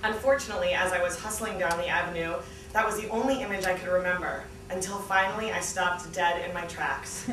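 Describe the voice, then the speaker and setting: A young adult woman giving an animated, comedic live reading of a memoir to an event, performing the dialogue as she goes. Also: on the low side, brisk. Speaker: guest reader at a book launch. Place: small theatre stage at a lectern, indoors. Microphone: distant camera. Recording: roomy, noisy, distant event recording.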